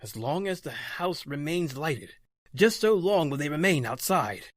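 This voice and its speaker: An actor playing a grave, fatalistic doctor in a radio horror drama, with an intense, emphatic delivery. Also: male voice, a little high, lively intonation, unhurried, no echo, clean studio sound. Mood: resigned, grave. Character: grave, fatalistic doctor under siege by dark forces. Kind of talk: old-time radio horror acting.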